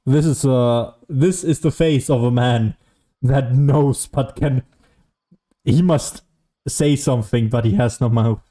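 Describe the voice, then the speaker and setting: Slow, mellow, laid-back game commentator. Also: mid-range voice. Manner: laid-back, joking, musing. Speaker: video game streamer. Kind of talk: casual gameplay commentary. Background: faint game music.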